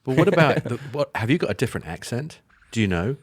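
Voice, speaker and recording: A man's voice: medium pitch, big pitch swings, fast, husky, no room sound, studio quality. A man chatting casually as a podcast guest, often affirming the others. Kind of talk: casual conversation.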